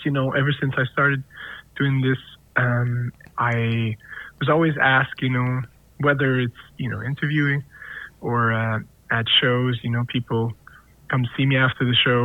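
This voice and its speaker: A singer-songwriter whose first language is French, answering interview questions in a rambling way, full of fillers and restarts. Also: male voice, mid-range voice, no room sound. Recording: dry tinny phone line.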